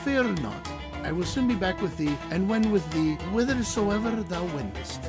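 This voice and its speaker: Casting: man playing nosy, flattering old barber, ornate archaic talker, higher-pitched male voice, heavy music bed underneath. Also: normal speed. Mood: insistent. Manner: wordy, flowery, archaic speech. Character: talkative, meddlesome, ingratiating old male barber of Baghdad. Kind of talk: audio drama storytelling.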